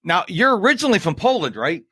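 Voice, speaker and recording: A man's voice: sits high, sing-song, fast, pushed and bright, husky, no room sound, clean studio sound. A man hosting a podcast, chatting in an upbeat, folksy way. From United States. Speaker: podcast host. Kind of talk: casual interview chat.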